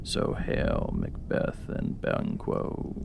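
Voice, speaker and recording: An actor voicing an eerie witch in a dramatic Shakespeare reading, chanting prophecies in a gravelly, drawn-out incantation. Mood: ominous. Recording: noisy ambience, thunder effects.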